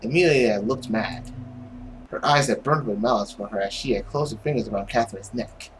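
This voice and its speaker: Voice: mid-range voice, fairly quick, slight background noise, low-quality recording. A narrator reading a visual novel aloud in a theatrical, suspenseful storytelling manner. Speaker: video game playthrough narrator.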